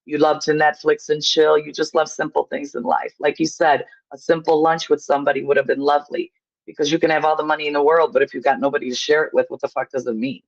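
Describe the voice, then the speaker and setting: A woman's voice: deep voice, little pitch movement, quick pace. Emphatic woman, dull lo-fi audio. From Poland. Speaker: call-in guest. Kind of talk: opinionated casual chat.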